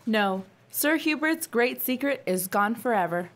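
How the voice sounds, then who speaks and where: A loyal, resolute adventuring woman played by an actress in vintage radio-serial style. Her voice is fairly high and her delivery earnest. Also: lively intonation, unhurried. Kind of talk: old-time radio serial acting.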